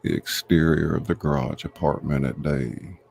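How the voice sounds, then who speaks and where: A man reading screenplay stage directions aloud as a deliberate scripted narrator. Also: a bass voice, steady tone, gravelly, a little mellow.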